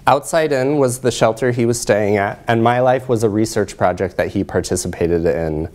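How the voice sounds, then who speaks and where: A man in his thirties giving a research presentation in a lecture hall, explaining in a measured way. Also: fairly low pitch, a little mellow.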